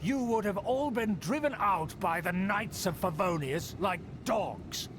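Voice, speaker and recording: A pompous, scheming aristocrat in a video game, haughty and indignant. His pitch sits somewhat high and swings widely. The game audio carries a steady noise. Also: a little slow. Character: pompous scheming aristocrat, middle-aged man.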